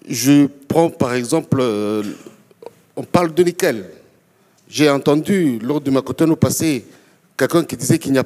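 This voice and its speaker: A man's voice: mid-range voice, darkish tone. A man from DR Congo speaking as an entrepreneur on a conference panel, making an impassioned case. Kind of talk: impassioned panel remarks in a public forum. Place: large conference hall. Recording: reverberant conference hall.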